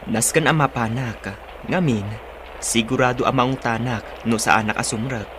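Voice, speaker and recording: A man's voice: medium pitch, normal speed, noisy background, close to an everyday voice. A man playing an earnest, dutiful young husband in an Ilocano-accented radio drama.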